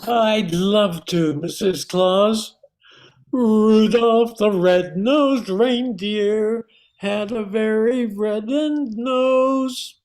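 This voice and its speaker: A woman's voice: mid-range voice, drawn-out words, darkish tone. A woman playing an innocent, eager, polite reindeer with earnest delivery in an amateur Christmas radio play.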